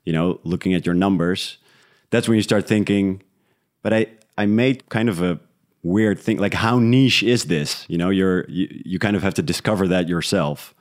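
A man from the Netherlands with a fairly low voice speaks as an interview guest. His talk is casual and reflective, like an unscripted conversation. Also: moderate pace. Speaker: interview guest, audio drama creator.

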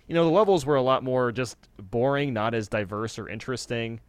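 A man's voice: medium pitch, a little fast, clear, resonant tone, no echo. A man in his twenties talking casually and giving his opinions in a podcast conversation. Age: 20s.

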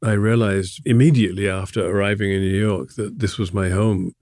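Older man speaking in slow, halting reflection. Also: on the low side, fairly even intonation, no echo, clean studio sound. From England. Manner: thoughtful, halting, laughs softly between thoughts. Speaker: artist being interviewed. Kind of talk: reflective conversational interview.

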